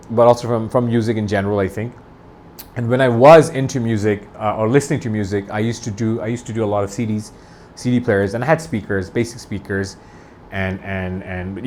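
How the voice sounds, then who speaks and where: A man in his forties from Bangladesh, talking through a review unscripted, enthusiastic and rambling, often restarting his phrases. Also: fairly low pitch. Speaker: audio gear reviewer.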